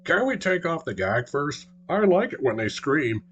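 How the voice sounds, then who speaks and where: A man's voice: a little high, very animated, normal speed, fairly bright tone, highs cut off, pitched above the performer's own voice. A man voicing dim-witted male Cyclops brutes who stammer and hesitate. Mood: dopey.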